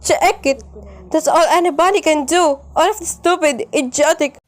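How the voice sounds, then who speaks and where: A woman's voice: high voice, moderate pace, lightly acted. A woman playing a nervous, demanding bedridden wife in a radio play, with a melodramatic, complaining manner. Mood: indignant.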